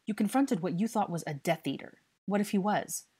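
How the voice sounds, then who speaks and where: A woman's voice: on the low side, expressive, fairly quick, dark tone, slightly rough. A woman voicing a stern, furious young male investigator who scolds and interrogates with exasperated force in an audiobook story.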